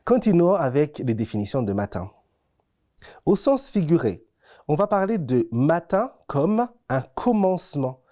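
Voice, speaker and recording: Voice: medium pitch, sing-song, measured pace, dark tone. A presenter giving a scripted, explanatory lesson in a didactic way, stressing key words. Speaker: presenter of an educational French-language TV segment. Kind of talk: scripted teaching narration, explanatory.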